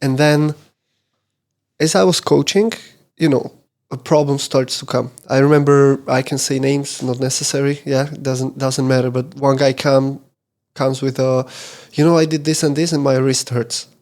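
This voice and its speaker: Male host; easygoing storyteller, halting phrasing, mid-range voice. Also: medium pitch. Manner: relaxed, storytelling, a little hesitant. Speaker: podcast host, coach. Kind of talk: casual conversational interview.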